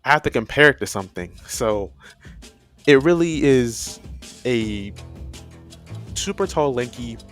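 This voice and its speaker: A man's voice: mid-range voice, animated, a little slow, long pauses, a bit bright, soft background music. A man giving a casual explainer as a video host, rambling and often repeating or correcting himself as he goes. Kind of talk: casual, loosely structured explainer commentary.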